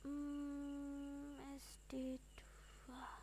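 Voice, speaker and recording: A woman's voice: high-pitched, monotone, extremely slow, warm tone, slight background noise. A woman with an Indonesian accent teaching English informally to students, mixing English terms into her explanations and often checking in with small tags. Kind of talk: informal online lesson, explaining and addressing students.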